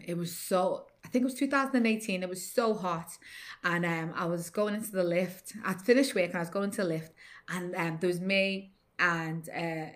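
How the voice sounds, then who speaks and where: A young woman with a Scouse accent, a guest in a casual conversation, telling lively stories and acting out what other people said. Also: fairly low pitch. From born in Nigeria, raised in Liverpool. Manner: lively storyteller who acts out others' lines.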